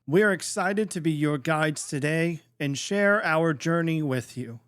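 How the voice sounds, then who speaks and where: A man's voice: on the high side, unhurried, a bit bright, clean tone, no echo, studio quality. A man reading a scripted introduction as the host of an audio drama podcast. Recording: music behind the voice. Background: plucked guitar music.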